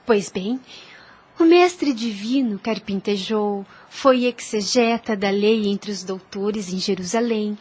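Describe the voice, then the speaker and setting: An actress playing a humble, serene young governess in a Brazilian audio drama, speaking gently and formally. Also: female voice, fairly high pitch, husky.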